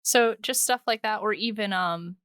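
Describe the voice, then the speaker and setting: A woman hosts a podcast in a loose, conversational style. Her voice has a middle pitch and is recorded close and dry. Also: normal speed, fairly bright tone, clear, resonant tone, no echo. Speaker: podcast host. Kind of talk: casual chat.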